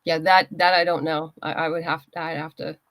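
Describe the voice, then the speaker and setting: A woman guest in a casual interview, chatting in a halting way as she thinks aloud. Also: sits low, little pitch movement, fairly bright tone, no echo. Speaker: interview guest, comics writer and creator.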